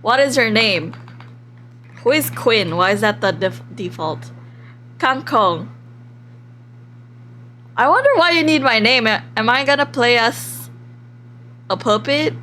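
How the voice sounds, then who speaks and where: Very high-voiced, animated woman streamer. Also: takes it slowly, long pauses, slight background noise. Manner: excitable, giggly, expressive reactions. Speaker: livestream host. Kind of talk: casual live game stream with read-aloud and reactions.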